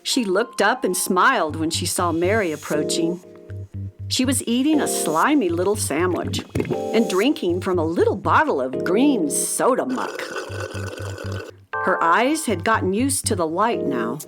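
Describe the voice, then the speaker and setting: A woman's voice: on the low side, very animated, measured pace, over music. A woman narrator reading a scripted children's story.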